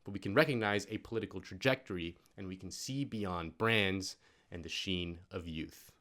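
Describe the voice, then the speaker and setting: A man's voice: sits low, normal speed, a bit bright. A man hosting a casual political talk show, wry and blunt, often laughing. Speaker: host.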